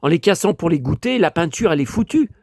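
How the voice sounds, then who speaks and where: An older man from France with a hoarse voice, grumbling and talking to himself as he shows his latest finds to viewers in a casual monologue. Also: fairly high pitch, animated, fast, darkish tone, no echo, studio quality. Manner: grumbling, exasperated, talks to himself. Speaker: vlogger showing flea-market finds.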